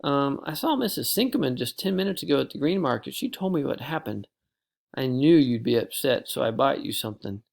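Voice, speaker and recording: A reader voicing a kind, reassuring mother in an audiobook, speaking gently. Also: medium pitch, animated, a little fast, creaky. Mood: gentle. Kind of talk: audiobook character voice.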